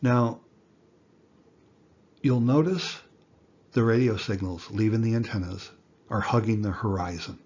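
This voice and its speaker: A man with a somewhat low, raspy voice teaches in an informal, explanatory way. He is deliberate and emphatic, posing rhetorical questions as he goes. The recording sounds a little dull and lo-fi. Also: a little mellow. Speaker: instructor, amateur radio teacher.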